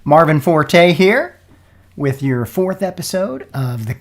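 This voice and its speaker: A man's voice: on the high side, sing-song, slow pace. A man hosting a podcast in a casual, folksy way, sounding a little froggy from a cold. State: froggy, getting over a cold. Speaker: podcast host. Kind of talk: casual sponsor interview podcast.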